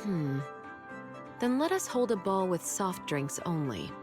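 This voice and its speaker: Unflappable, formal female researcher for a game: lowish register, deadpan precision, loud music underneath. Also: unhurried.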